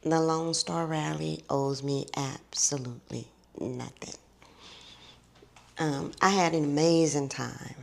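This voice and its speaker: Low, gravelly woman chatting to viewers. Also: fairly even intonation, darkish tone. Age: middle-aged. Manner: chatty, drawn-out, calls viewers honey and baby. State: slightly tired and scratchy. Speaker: vlogger. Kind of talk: casual chatty vlog.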